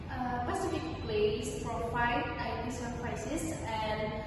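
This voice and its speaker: A young woman with an Indonesian accent, a maritime academy student reciting a scripted English role-play in a careful, deliberate way. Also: a little high. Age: young adult. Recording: echoey hall heard from a distance with noise.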